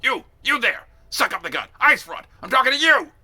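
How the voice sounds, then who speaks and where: A man acts out a scornful drill sergeant from an audiobook-style war story. He barks and leans hard on every insult, with a forceful, piercing edge. The pitch leaps widely and the voice has a rough rasp. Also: sing-song, heavily acted. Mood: barking. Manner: barking, drawn-out emphatic insults. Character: bellowing, contemptuous male drill sergeant, theatrically insulting. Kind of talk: dramatic read-aloud of a military novel.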